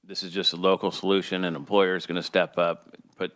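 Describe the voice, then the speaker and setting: A man's voice: sits low, level tone, brisk, a bit bright, no room sound, clean studio sound. A man chairing a legislative committee hearing, asking questions and calling witnesses in an easygoing way.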